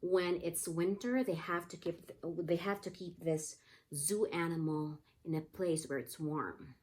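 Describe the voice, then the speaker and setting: A woman's voice: fairly low pitch, a little slow, a little mellow. A woman teaching young children in a read-aloud lesson, explaining simply and prompting with repeated questions. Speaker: pre-K teacher.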